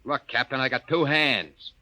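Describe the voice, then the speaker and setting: Surly, sharp-edged spaceship engineer. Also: male voice, medium pitch, normal speed. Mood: angry, defiant. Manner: slangy working-man talk. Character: surly, sarcastic, insubordinate male spaceship engineer. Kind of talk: 1950s radio drama acting. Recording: old radio recording with faint noise.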